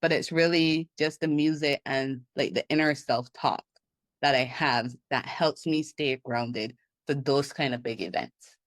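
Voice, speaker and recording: Guest consultant, woman from Barbados, animated and laughing, dry close-miked sound. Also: extremely low pitch, measured pace, no room sound. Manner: animated, laughs often, acts out her own inner thoughts. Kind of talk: casual interview talk.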